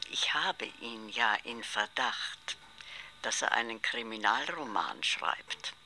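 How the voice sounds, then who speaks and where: Confiding crime novelist, radio drama, familiar, very sharp bright tone, slow delivery. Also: female voice, a bass voice, animated, a little hiss or hum, heavily acted, rough recording. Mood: chatty, confiding. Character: chatty, confiding female crime novelist, familiar and self-assured. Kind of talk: German radio drama acting.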